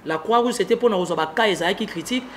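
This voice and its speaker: A man with a Congolese accent speaks in a high-pitched voice over faint ambient noise. He comments on politics as an interview guest, driving points home emphatically and repeating his phrases. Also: fairly quick. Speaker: political analyst being interviewed.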